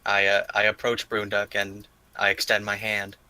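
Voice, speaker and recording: A man's voice: lowish voice, completely flat delivery, moderate pace, pushed and bright, clear, resonant tone, dry recording, poor audio. A man playing a tabletop game, chatting casually and thinking aloud as he goes.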